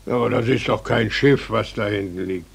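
A man's voice: lowish voice, brisk, gravelly, slightly rough. An actor playing a kindly, concerned policeman in a 1940s German radio play, solicitous and full of exclamations and cautions. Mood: curious, friendly. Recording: noisy 1940s radio recording.

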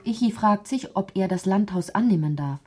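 A woman narrating a poetic, absurd literary radio drama in deliberate, measured phrases. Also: medium pitch, expressive, loud music behind the voice, lightly acted. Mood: musing, wry.

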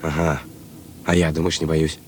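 An actor playing a hungry, suspicious petty pickpocket in a radio play, speaking in short colloquial replies. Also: male voice, extremely low pitch, flat delivery, normal speed. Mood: uneasy, suspicious. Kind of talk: Russian radio play acting. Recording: clear ambient noise behind.

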